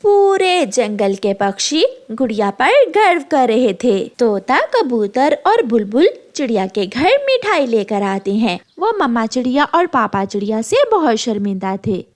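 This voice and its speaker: A woman's voice: on the high side, big pitch swings, measured pace, few pauses. A woman narrating a scripted children's cartoon story.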